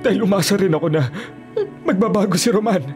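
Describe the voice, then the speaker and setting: Man acting a high, emotional young Filipino policeman. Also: fast, a little mellow, natural voice. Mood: sorrowful, resigned. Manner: emotional, solemn, resolute. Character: dutiful young policeman torn over his criminal brother. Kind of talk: Filipino radio drama acting. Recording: dramatic music behind.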